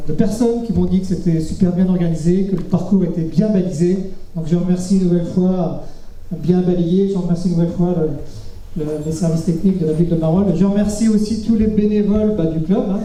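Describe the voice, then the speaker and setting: Halting high-voiced man, very echoey. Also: dark tone. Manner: halting, searching for words. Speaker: cycling club official giving thanks. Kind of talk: unprepared public thank-you speech. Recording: echoey, distant event sound, faint noise.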